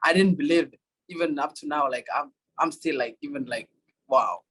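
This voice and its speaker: Kenyan college runner, young man, husky tone, halting answers. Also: on the high side, fairly bright tone, poor audio. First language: Swahili. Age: young adult. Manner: halting, many fillers and restarts. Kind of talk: interview answers.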